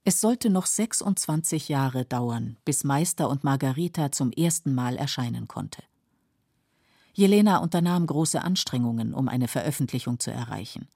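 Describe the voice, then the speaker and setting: A woman with a husky voice giving sober, measured scripted documentary narration in a radio studio. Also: a bass voice, expressive, dark tone, no echo.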